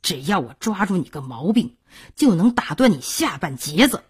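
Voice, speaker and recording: A woman's voice: fairly low pitch, big pitch swings, moderate pace, husky, exaggerated voice. A woman storyteller playing an emotional, besotted male court clerk in a dramatic manner. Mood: angry, haughty.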